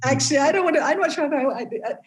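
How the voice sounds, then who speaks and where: A woman who grew up in East Africa, a game inventor talking as a guest in a casual interview, halting with many restarts and laughing often. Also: sits high.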